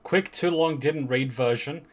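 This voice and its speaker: A man's voice: fairly high pitch, measured pace, slight background noise. A man hosting a game story reaction, commenting casually and irreverently, repeating words for emphasis.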